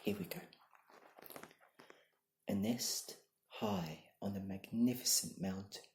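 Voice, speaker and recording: A young adult reading bedtime stories in a soft-spoken, partly whispered ASMR style, with a gentle, deliberate and hushed delivery. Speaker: ASMR storyteller. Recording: low-quality, echoey room recording.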